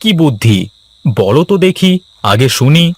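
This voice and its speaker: A village husband in a cartoon, acted by a man, forever fretting over debts. He is loud and exclamatory, scheming one moment and scolding the next, at a middling male pitch. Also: very animated, normal speed, dry recording. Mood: eager. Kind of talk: Bengali cartoon voice acting.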